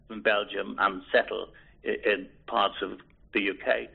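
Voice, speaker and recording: A man in his eighties from Liverpool, England, recalling his life in a hesitant, halting way as an oral history interviewee. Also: lowish voice, flat delivery, gravelly, breathy edge. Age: 80s. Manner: hesitant, halting, with many restarts. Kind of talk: rambling reminiscence. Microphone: telephone. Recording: over a phone line.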